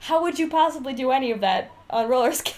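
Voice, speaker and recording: A woman's voice: high voice, animated, quick pace, darkish tone. A woman co-hosting a podcast, chatting casually in a giggly, slangy, sweary way. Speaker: podcast co-host. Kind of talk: casual banter.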